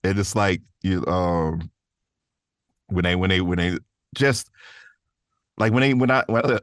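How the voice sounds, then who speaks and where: A man's voice: low-pitched, measured pace, dry recording, studio quality. A man talking casually and thoughtfully as a guest in an interview about his work, often restarting his phrases. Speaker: voice actor, podcast guest. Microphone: close studio microphone in a vocal booth.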